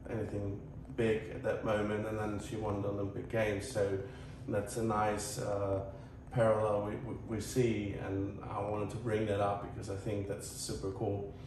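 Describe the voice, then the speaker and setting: A man from Croatia with a Croatian accent, talking casually to viewers as a tennis channel host in a halting, earnest, rambling way. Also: lowish voice, completely flat delivery. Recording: echoey, distant mic, faint noise.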